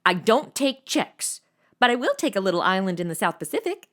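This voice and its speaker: A woman's voice: mid-range voice, sing-song, brisk, fairly bright tone, a little hiss or hum. A woman playing a sassy, irreverent, blunt young temp in a comedy audio drama, sarcastic and slangy.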